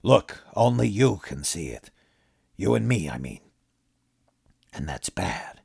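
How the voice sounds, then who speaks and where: A man voicing a weary, wary actor who speaks low and subdued. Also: very animated, unhurried, creaky, a little mellow, breathy edge, no room sound, full character voice. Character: weary, wary middle-aged male actor.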